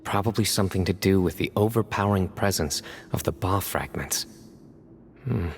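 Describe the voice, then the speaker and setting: A man's voice: low-pitched, moderate pace, gravelly, a little hiss or hum. An actor playing a stern, reserved young male guardian who talks tersely and seriously. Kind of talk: video game voice acting.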